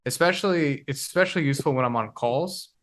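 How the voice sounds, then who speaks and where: A man in his twenties, a podcast guest, talking casually in a reflective, halting way as he searches for words. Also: on the high side, fairly bright tone. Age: 20s. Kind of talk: casual conversation.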